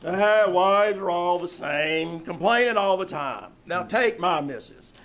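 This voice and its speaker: A man playing a callous, wisecracking working-class husband in an old-time radio comedy, with deadpan, drawn-out delivery. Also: high-pitched. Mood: dry, grumbling. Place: small-town theater stage, live performance.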